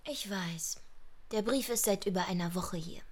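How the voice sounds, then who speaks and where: An actress playing a cool, spiteful grown daughter in a radio drama, speaking in a controlled, cutting way. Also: female voice, mid-range voice, moderate pace, lightly acted.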